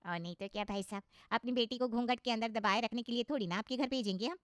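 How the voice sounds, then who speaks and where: A woman's voice: on the high side, fast talker, clean tone, no echo, clean studio sound, lightly acted. A woman voicing a snobbish modern mother of the bride in a cartoon story, haughty and mocking, mixing in English. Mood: haughty, scornful.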